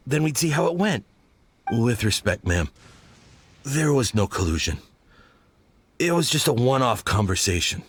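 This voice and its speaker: A man's voice: medium pitch, slightly rough, no room sound. A man playing a deferential military subordinate in a video game, justifying himself to a superior. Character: defensive male military subordinate answering to superior.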